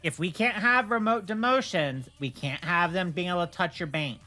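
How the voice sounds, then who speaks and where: A woman's voice: fairly low pitch, normal speed, pushed and bright. A woman streamer talking casually on a livestream, making her points in a firm, emphatic, argumentative way. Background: music.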